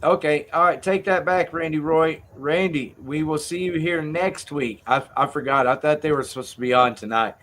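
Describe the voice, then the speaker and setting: A man's voice: fairly high pitch, normal speed, hardly any pauses, a bit bright. A man hosting a livestream with casual, crude and irreverent banter. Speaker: show host.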